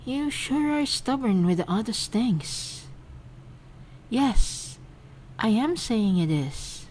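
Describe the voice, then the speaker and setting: Brief: female reader as poised young woman in love, unhurried, coaxing, playfully tender, romance story. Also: a little high, very animated, slight background noise, voice pushed up, rough recording. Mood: teasing. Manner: measured, gently coaxing. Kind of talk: acted dialogue in fan fiction reading.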